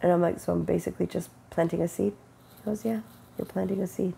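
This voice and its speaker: A middle-aged woman with a cold, sniffling and rough-voiced, giving an earnest, unhurried spiritual testimony into an earbud mic in her bedroom. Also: fairly low pitch, fairly even intonation, warm tone, a little hiss or hum. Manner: earnest, unhurried, quotes God in conversation. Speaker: faith vlogger. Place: bedroom with bright window, indoors. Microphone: wired earbud mic, webcam close-up.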